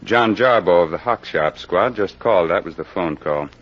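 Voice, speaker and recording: An actor playing a brisk, skeptical police captain questioning people in an old-time radio detective drama. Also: male voice, low voice. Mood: wry, musing. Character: brisk, skeptical male police captain running a murder investigation. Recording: dull, band-limited old radio recording.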